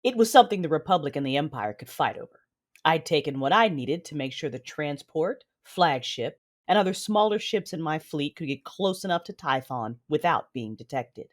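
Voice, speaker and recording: An actress playing a vengeful female Sith lord, narrating her own story in an audio drama with intense, deliberate delivery. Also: sits low, lively intonation. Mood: grim resolve. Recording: music behind the voice.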